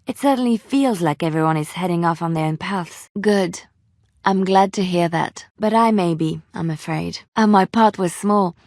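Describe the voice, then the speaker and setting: An actress voicing a gentle, devout young woman adventurer in a video game, soft-spoken and earnest, by turns wistful and playful. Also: fairly low pitch, normal speed, no echo, studio quality, natural voice. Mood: gentle regret. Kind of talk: video game voice acting, intimate dialogue.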